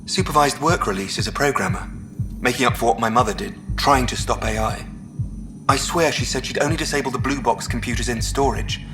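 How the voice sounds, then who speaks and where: A man acting a remorseful programmer in a sci-fi game. His mid-pitched voice is subdued and regretful, with music clearly behind it. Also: brisk, natural voice. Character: remorseful young male programmer on supervised work release. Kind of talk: dramatic game dialogue.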